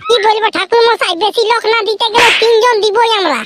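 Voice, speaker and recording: A man voicing a whiny, excitable village schoolboy in a comic cartoon, with a Purulia Bengali accent and a pitched-up voice. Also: fast. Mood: excusing. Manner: complaining, rustic. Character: whiny, excitable village boy, lazy exam student. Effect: pitch-shifted up. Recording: very noisy track with ambient sound.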